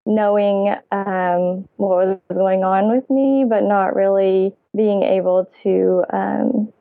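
A woman's voice: medium pitch, little pitch movement, slow pace, gravelly. A woman in her thirties, a podcast guest, chatting casually and laughing often as she tells stories. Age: 30s. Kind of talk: casual interview chat. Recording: phone-line sound.

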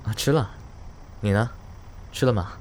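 Drama lead: plain-spoken young star male actor, low pitch, casual, guarded delivery. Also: normal speed, a little hiss or hum, close to an everyday voice. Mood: gentle. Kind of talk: Chinese audio drama acting.

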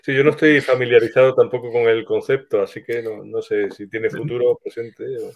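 A man's voice: medium pitch, fairly even intonation, brisk. Hesitant Spanish man, slightly muffled recording. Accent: Spain Spanish. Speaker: screenwriter being interviewed. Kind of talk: casual interview talk.

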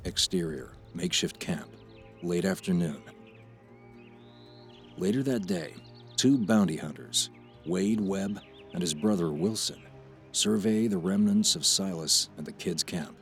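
A man's voice: deep voice, measured pace, creaky, pauses often. A man narrating screenplay action for an audio drama in a scripted read. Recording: music behind the voice.

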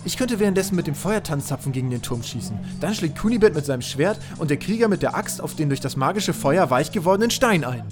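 Man voicing an excitable, higher-pitched adventurer professor. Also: fast, hardly any pauses, music in the background, lightly acted. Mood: planning, eager. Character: excitable male professor adventurer with fire magic. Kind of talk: amateur audio drama acting.

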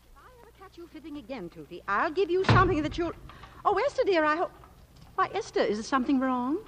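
A woman playing a gentle, fretful middle-aged mother in 1940s radio drama style, soothing and easily flustered. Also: high-pitched, big pitch swings, fast, faint music behind. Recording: reverberant old radio recording.

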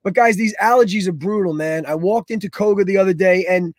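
Male co-host, raspy and nasal from allergies, upbeat and jokey, high-set voice, dead-dry booth sound. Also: brisk, no room sound. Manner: lively, animated, joking. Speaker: fitness instructor and podcast co-host. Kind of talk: casual podcast chat.

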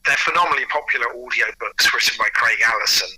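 A man being interviewed, chatting casually through anecdotes in a halting way, often repeating words as he starts a sentence. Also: medium pitch, sharp tone, slightly rough, no room sound, poor audio. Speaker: interview guest, audio producer and writer.

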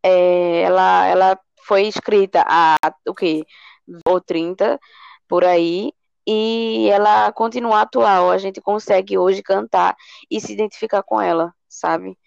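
A singer from Recife, chatting easily as a podcast guest. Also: female voice, mid-range voice, slow, vocal fry, clean tone, no echo, muffled recording. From Recife, Brazil. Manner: relaxed, says "menino" and "sabe?". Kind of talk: casual interview chat.

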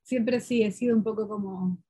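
Woman interview guest, Portuguese speaker; lively storyteller full of fillers and self-corrections. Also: mid-range voice, moderate pace, husky. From Peru. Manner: lively, animated, many fillers and restarts. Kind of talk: casual conversational storytelling.

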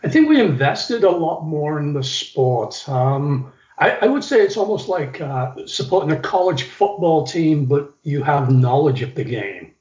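A man's voice: on the high side, animated, measured pace, few pauses, darkish tone. Man, middle-aged, storytelling, echoey room, dull sound. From England. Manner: storytelling, reflective, with some restarts. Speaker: guest. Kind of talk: reminiscing interview talk. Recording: echoey, slightly dull recording.

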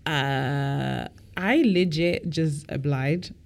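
A woman in her twenties from Belgium, chatting casually as a guest, animated and full of fillers and quick asides. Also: deep voice, gravelly. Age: 20s. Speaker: interviewee. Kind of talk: casual chat.

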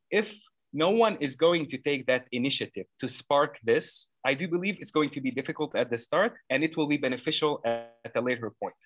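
A man in his thirties from Lebanon, answering interview questions earnestly and at length, with many fillers and quick self-corrections. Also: mid-range voice, fairly bright tone, no echo. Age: 30s. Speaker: program founder interviewed as guest.

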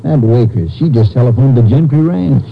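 An actor playing a folksy, plain-spoken small-town Texas sheriff, a dogged sidekick in a 1950s radio drama. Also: male voice, medium pitch, normal speed, gravelly, soft background music, lightly acted. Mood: puzzled. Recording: dull 1950s radio recording, faint noise.

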